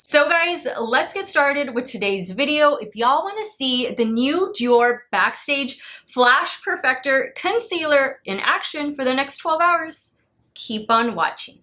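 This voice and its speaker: Woman, late 30s, beauty vlogger; slightly high, bright voice; friendly, chatty delivery. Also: a little hiss or hum. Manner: chatty and upbeat, talks straight to viewers. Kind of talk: casual product review to camera.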